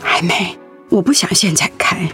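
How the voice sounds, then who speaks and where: An actress playing a gentle, anxious wife who cares for her sick husband in a Mandarin radio drama. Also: fairly low pitch, animated, normal speed. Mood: reluctant, troubled. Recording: music under the voice.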